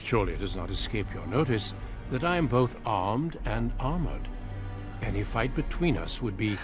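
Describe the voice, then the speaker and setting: A composed, unyielding fantasy game warrior. His voice is low and his speech formal and courteous, with light music underneath. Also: big pitch swings, moderate pace. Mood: composed, assured. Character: calm, confident veteran human warrior, formal and unyielding. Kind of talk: fantasy video game dialogue.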